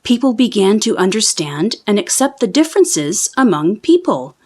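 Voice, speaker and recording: A woman reading a children's book aloud as an expressive storyteller. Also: mid-range voice, normal speed. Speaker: storybook reader. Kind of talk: children's read-aloud narration.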